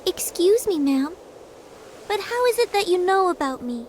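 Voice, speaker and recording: A woman's voice: very high voice, very animated, normal speed, a little mellow, a little hiss or hum. A woman voicing a polite, earnest young woman in a game cutscene. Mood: puzzled. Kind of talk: video game cutscene acting.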